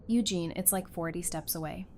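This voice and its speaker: An actress playing a warm, gently teasing woman doctor in an audio drama, talking in a casual, reassuring way. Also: fairly low pitch, normal speed, lightly acted. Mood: affectionate, teasing.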